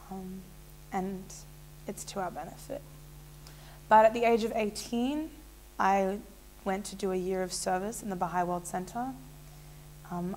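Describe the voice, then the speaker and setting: A woman's voice: medium pitch, darkish tone, slight background noise, poor audio. A young woman in a small indoor room, telling her personal story to a fixed camera in a casual, rambling way with many fillers. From Israel. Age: young adult. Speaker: interviewee.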